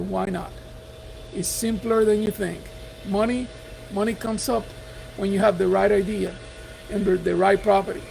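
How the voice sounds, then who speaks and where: Middle-aged Puerto Rican man with an accent, high gravelly voice, earnest encouraging investor vlog, faint hum behind. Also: a little mellow, poor audio. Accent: Puerto Rican Spanish. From Puerto Rico. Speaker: real estate investor. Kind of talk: motivational talk to camera. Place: house.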